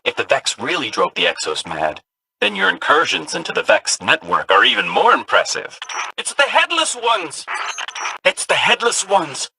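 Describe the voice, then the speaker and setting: Brief: an excitable game robot sidekick, bright and gravelly. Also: male voice, fairly high pitch, normal speed, slight background noise, heavily acted, rough recording. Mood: excited. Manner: excitable, swooping delivery. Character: chirpy robot companion, upbeat and nerdy.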